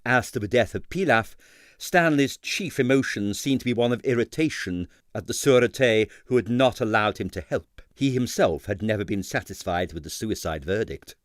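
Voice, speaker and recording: A man reading a comic mystery novel as scripted narration, with a dry, measured storytelling manner. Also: on the low side, lively intonation, no echo. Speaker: audiobook reader.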